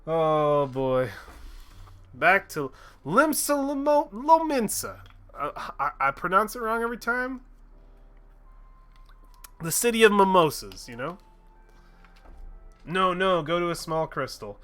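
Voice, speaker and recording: A game streamer talking loosely through a late-night session, with a bright, forward voice. Their pitch jumps around a lot, and they curse often and laugh at their own slips. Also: sing-song, slow pace, long pauses, faint music behind. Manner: chatty, swears freely, laughs at themself. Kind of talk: casual gaming livestream chat.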